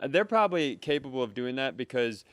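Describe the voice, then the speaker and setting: Casting: middle-aged American male podcast co-host, medium pitch, smooth tone, blunt and casual. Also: big pitch swings, fairly quick, a bit bright, dry recording, clean studio sound. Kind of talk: casual chat.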